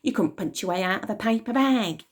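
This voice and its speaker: A woman's voice: mid-range voice, lively intonation, brisk, pitched above the performer's own voice, lightly acted. A woman voicing the eldest of two thieving gang sisters, taunting her victim.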